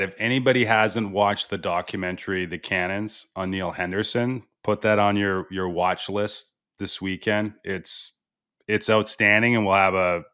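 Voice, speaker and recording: A man's voice: sits low, fairly bright tone, smooth. A man hosting a podcast, asking enthusiastic questions full of "you know" fillers. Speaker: podcast host. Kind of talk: casual interview chat.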